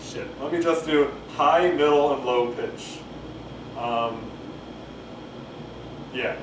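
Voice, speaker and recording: A man's voice: fairly high pitch, takes it slowly. A man casually reading a play aloud to camera, rambling, hesitant and self-deprecating, with muttered asides. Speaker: amateur play reader. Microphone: camcorder microphone at some distance. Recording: echoey, noisy camcorder audio from far off.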